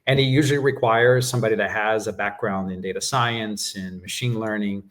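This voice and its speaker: A man whose first language is Portuguese, calmly explaining his work as a guest in a business interview, with frequent fillers. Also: fairly low pitch. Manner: measured explanations with frequent "uh" and "right?". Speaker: guest executive.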